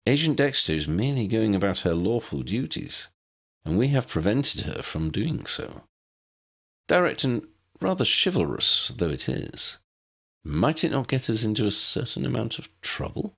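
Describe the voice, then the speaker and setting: A man voicing a formal, cautious man with measured, polite, wordy speech in an audiobook. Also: lowish voice, very animated, creaky, fairly bright tone, full character voice. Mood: polite caution. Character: formal, cautious, chivalrous man who gently objects.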